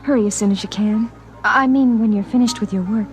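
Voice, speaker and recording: An actress playing a clingy, anxious sweetheart in a 1950s radio drama. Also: female voice, a little high, brisk, lightly acted. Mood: pleading. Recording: vintage radio with music behind.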